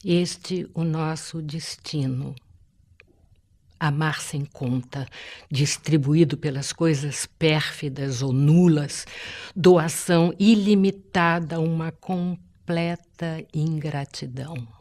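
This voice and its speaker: Low, slow woman reciting poetry. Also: darkish tone. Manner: measured, dramatic pauses.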